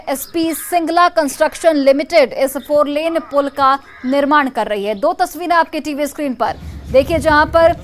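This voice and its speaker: A woman's voice: high-pitched, slight background noise. A woman news anchor delivering breaking news in an emphatic, urgent way, repeating key phrases. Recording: music bed under the voice. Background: news music.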